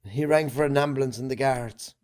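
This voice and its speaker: A man with an Irish accent acting a defensive, guilt-ridden farming man in an amateur drama, speaking haltingly. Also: mid-range voice, darkish tone, lightly acted. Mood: halting, remorseful.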